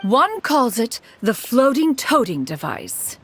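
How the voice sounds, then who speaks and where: A woman's voice: on the high side, big pitch swings, slow pace, music in the background, full character voice. An actress voicing a proud, aloof immortal woman in a video game, speaking in formal, archaic and lofty phrases. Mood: aloof, brisk. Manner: formal archaic diction, calls herself "one", lofty. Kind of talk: scripted game character dialogue.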